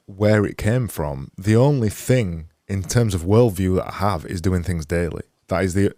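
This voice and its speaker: A man in his thirties with a Yorkshire accent, hosting an interview and speaking softly with frequent stumbles and repeated words. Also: sits low, no room sound, studio quality. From England. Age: 30s. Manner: halting, repeats words, soft-spoken. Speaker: podcast host. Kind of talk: casual video-call interview. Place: home studio room. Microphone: studio mic on boom arm with headphones.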